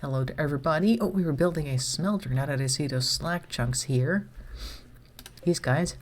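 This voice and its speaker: A woman's voice: low-pitched, moderate pace. A woman streamer, slightly congested from a cold, chatting casually to herself and her viewers as she plays a game. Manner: chatty, talks to herself while managing the colony.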